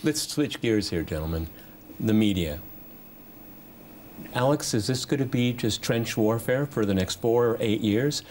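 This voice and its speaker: A man in his fifties moderating a political panel, halting and repeating words for emphasis. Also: medium pitch, expressive, a little mellow, slight background noise. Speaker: talk show host and moderator. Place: television studio round table.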